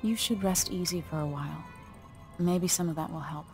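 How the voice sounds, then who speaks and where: Low-voiced resolute young empress played by a woman. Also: moderate pace, music in the background, natural voice. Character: young deposed empress turned assassin, resolute and composed. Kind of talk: video game cutscene acting.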